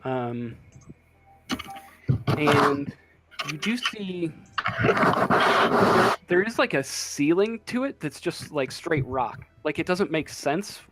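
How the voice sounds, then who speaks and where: A man running a tabletop game as game master, narrating off the cuff and halting as he searches for words. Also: a little high, very animated, loud music behind the voice, rough recording.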